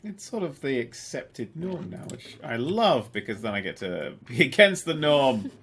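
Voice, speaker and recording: A man's voice: medium pitch, sing-song, moderate pace, fairly bright tone, slight background noise. A young man from the south of England, chatting casually while streaming a game, teasing and swearing a lot. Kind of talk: casual livestream chat.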